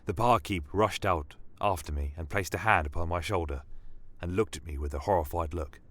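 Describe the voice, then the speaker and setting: A man narrating a scripted first-person fantasy tale in a measured, solemn, dramatic manner. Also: low voice, a bit bright, dry recording. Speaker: storyteller.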